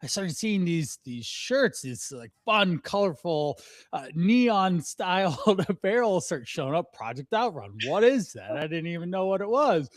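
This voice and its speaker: A man's voice: high voice, big pitch swings, a little slow, no echo. Upbeat man in his forties, dry sound. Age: 40s. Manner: chatty, upbeat, laughs often. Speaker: podcast host, fitness coach. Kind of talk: casual podcast conversation.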